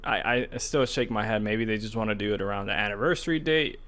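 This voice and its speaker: A man's voice: on the low side, fast, fairly bright tone. A man co-hosting a gaming podcast, talking in loose, casual conversation.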